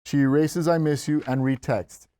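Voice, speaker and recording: A man's voice: fairly high pitch, fairly even intonation, moderate pace, warm tone, dry recording. Man reading stage directions, close dry sound. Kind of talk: scripted narration at a table read.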